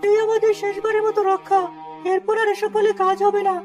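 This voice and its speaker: A woman's voice: very high voice, little pitch movement, a little fast, recorded from a distance. A woman voicing an anxious, cautious little father bird in a children's cartoon, worried and pleading. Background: music.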